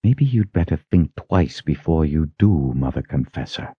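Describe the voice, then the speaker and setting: A man's voice: extremely low pitch, lively intonation, measured pace, husky, dry recording, narrow, tinny audio, heavily acted. A man voicing a furious, commanding witch woman in an audiobook, full of accusing menace. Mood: cold menace. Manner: hissing threats, accusing rhetorical questions.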